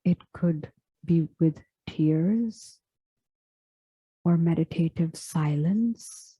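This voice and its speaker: Very slow, soft-spoken woman guiding meditation. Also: low voice, husky, no echo, rough recording. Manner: gentle, hushed, measured with long pauses. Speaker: meditation guide and yoga teacher. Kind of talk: slow guided meditation, scripted.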